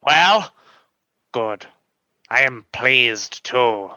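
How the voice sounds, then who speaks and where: An actor playing a proud fanged alien scientist in a comedy radio play, speaking in formal, deliberate phrases. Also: male voice, mid-range voice, animated, sharp tone, no room sound, heavily acted. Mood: tender.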